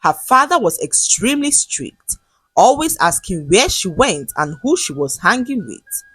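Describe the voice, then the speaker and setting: Expressive woman storyteller, slightly husky. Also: medium pitch, measured pace, no echo, rough recording. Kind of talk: scripted dramatic folktale narration.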